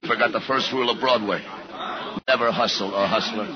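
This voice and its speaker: An actor with an American accent playing a brusque, sarcastic, suspicious police sergeant who talks in wisecracking slang in a 1950s radio thriller. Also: male voice, fairly low pitch, brisk, a crowd in the background, lightly acted. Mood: biting. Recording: dull, noisy 1950s radio recording.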